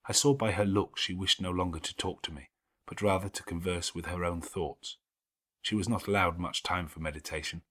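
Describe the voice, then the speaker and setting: A man narrating a literary audiobook in a deliberate, expressive, formal manner. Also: low voice.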